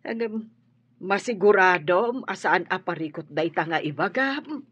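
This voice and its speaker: A husky, anxious old grandmother in a radio play, as a woman acts her out, her voice rising and falling as she pleads. The audio is dull and lo-fi. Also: on the low side, expressive, unhurried, fairly bright tone, heavily acted. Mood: concerned. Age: older. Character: worried elderly grandmother and mother. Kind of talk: radio drama acting.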